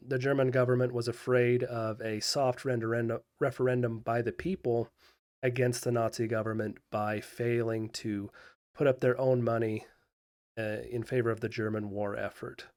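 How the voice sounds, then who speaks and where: A man hosting a history video, giving unscripted explanatory commentary in a measured way with pauses mid-thought. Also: medium pitch, a little mellow.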